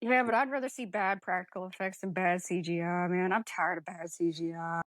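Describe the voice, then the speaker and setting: A woman's voice: fairly low pitch, steady tone, a little fast, a little hiss or hum. A woman co-hosting a podcast, talking casually about film in a blunt way with some mild swearing. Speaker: podcast co-host.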